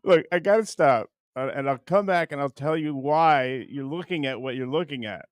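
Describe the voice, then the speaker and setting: A man hosting a casual comedy show, talking straight to viewers in a halting, stop-and-start way. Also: mid-range voice, no room sound. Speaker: show host introducing a puppet bit.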